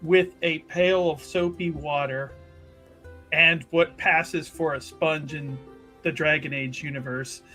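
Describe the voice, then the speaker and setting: High-voiced man narrating a roleplaying game. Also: steady tone, bright, forceful tone. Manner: halting, repeats phrases for emphasis. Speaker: player in a tabletop roleplaying game. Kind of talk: improvised narration of a character's actions. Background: background music.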